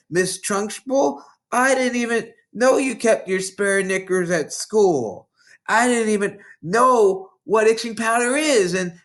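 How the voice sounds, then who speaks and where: A man's voice: fairly high pitch, fairly bright tone, pitched above the performer's own voice. A man voicing a boastful, battle-hardened schoolgirl prankster who tells dramatic war stories from a children's storybook.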